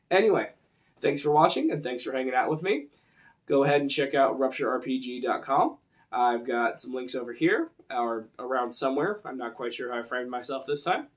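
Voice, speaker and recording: A man hosts an informal role-playing tutorial. His voice sits at a medium pitch. He explains things casually, as if chatting to viewers through the camera. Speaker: role-playing game presenter.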